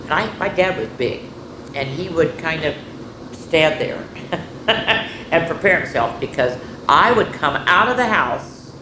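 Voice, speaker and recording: An older woman hosts a children's storytime, reading aloud and chatting in an expressive, folksy way straight to young listeners. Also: deep voice, unhurried. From rural United States, farm. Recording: echoey room with background noise.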